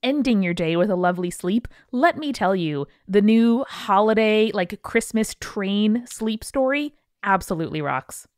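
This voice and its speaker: Female podcast co-host, casual slangy banter and ad reads, animated delivery, very little room sound. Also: mid-range voice, unhurried, no echo, clean studio sound. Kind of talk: casual chatty ad read and banter.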